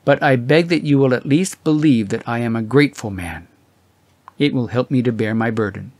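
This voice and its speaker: A man voicing an earnest, disgraced clergyman's letter in an audiobook, solemn, formal and measured. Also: medium pitch, darkish tone, no echo, lightly acted. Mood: solemn, grateful.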